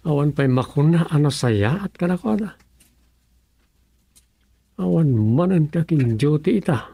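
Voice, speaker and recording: A gravelly, sarcastic village councillor who grumbles through a radio comedy drama, played by an actor. The voice sits at a middle pitch, rough and husky, with a very dry sound. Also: expressive, measured pace, mellow, dry recording, heavily acted. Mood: grumbling, muttering.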